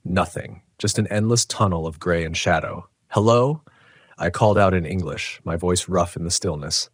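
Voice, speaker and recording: A man reading a scripted first-person horror story in a measured, dramatic way. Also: low voice, no echo, clean studio sound. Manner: measured, dramatic, literary delivery.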